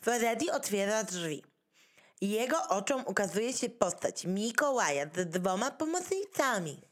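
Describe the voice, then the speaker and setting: A woman's voice: mid-range voice, very animated, unhurried. A woman narrating a scripted audio drama as its storyteller. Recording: music behind the voice.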